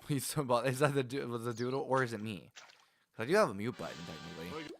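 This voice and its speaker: A man's voice: mid-range voice, animated, fast, faint music behind. A man casually chatting with his viewers while playing a game on a livestream, rambling and laughing as he goes. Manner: chatty, rambling, frequent restarts and laughs, talks to viewers by name. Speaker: game streamer.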